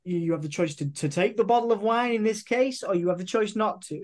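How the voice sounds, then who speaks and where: A man from the United Kingdom hosting an interview, affirming his guest and often restarting his sentences. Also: sits high. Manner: affirming, halting, many restarts. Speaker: interviewer host. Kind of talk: interview conversation.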